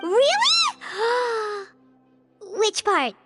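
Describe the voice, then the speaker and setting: A woman voicing a small, excitable childlike fairy girl in a video game, squeaky and chirpy. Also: very slow, over music, exaggerated voice. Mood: surprised. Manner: exclamatory, chirpy, eager. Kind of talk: cartoonish video game voice acting.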